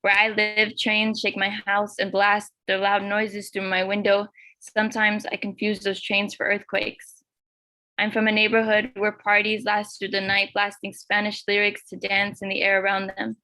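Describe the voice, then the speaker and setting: A teenage girl from Los Angeles reading her own spoken word poem in an earnest, measured way. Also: medium pitch, monotone, bright, forceful tone, no room sound, poor audio. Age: teens. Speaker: youth poet.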